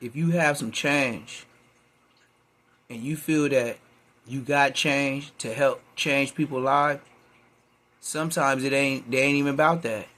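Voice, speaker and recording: A man's voice: medium pitch, steady tone, a little slow, long pauses, a bit bright. A man hosting a reaction video, talking in laid-back slangy street talk. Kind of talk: casual commentary.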